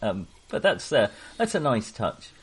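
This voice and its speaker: A man hosting a podcast, talking casually about films in a jokey, wry manner with a husky voice. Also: mid-range voice, huge pitch swings, a little slow, a bit bright. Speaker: podcast host. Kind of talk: casual film discussion.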